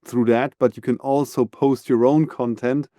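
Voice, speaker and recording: A man's voice: medium pitch, steady tone, dark tone, no echo, studio quality. A man hosting a podcast, talking earnestly and giving advice in a casual interview. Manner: earnest, advising, measured with fillers. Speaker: podcast host.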